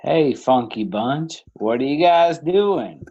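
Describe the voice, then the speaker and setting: A man's voice: fairly high pitch, expressive, slow pace, mellow, highs cut off. A man in his thirties co-hosting a beer podcast, chatting casually and cracking teasing jokes. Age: 30s. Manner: joking, teasing. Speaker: podcast co-host. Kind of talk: casual chat.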